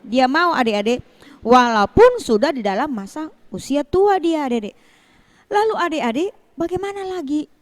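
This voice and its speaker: A woman telling a Bible story to children as a Sunday school teacher, in an animated way with rhetorical questions and repetition. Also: high voice, moderate pace.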